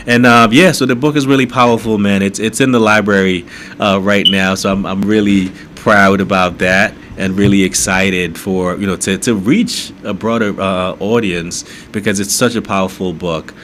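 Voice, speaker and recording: A man from Haiti with a lowish voice, talking earnestly as a podcast guest. He keeps checking in with a "right?" and restarts his sentences as he goes. A faint noisy hum sits behind him. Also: fairly even intonation, normal speed, fairly bright tone. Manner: earnest, repeats "right?" often, stumbles and restarts. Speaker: guest author. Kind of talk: conversational podcast interview.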